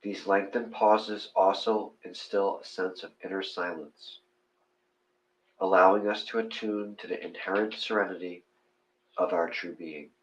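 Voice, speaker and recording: A man reading a scripted guided meditation gently, in measured short phrases. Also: deep voice, fairly even intonation. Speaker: meditation reader. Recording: dull, lo-fi sound.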